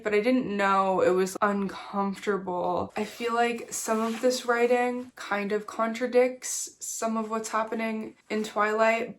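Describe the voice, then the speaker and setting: Woman vlogger with a cold, nasal and casual, talks loosely about books from her reading corner. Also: medium pitch. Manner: chatty, fillers like "like", casual swearing. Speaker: book vlogger. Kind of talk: casual reading vlog chat. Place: small reading corner at home.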